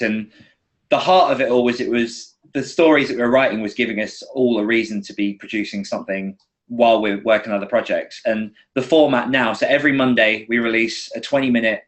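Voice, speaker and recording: A British man chatting and explaining casually as an indie author guest on a podcast. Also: medium pitch.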